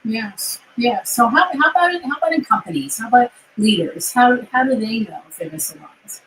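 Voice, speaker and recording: A woman's voice: fairly high pitch, expressive, fairly quick, a bit bright, low-quality recording. A middle-aged woman with a New York accent, hosting a casual interview chat and speaking in an upbeat, emphatic way. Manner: upbeat, emphatic, repeats words for stress. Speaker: host, career coach.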